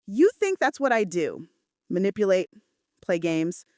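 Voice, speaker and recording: A woman's voice: medium pitch, big pitch swings, unhurried, warm tone, no room sound, studio quality. A woman voicing a controlling, brooding mafia boss in terse, commanding romance audiobook dialogue. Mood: angry, defensive.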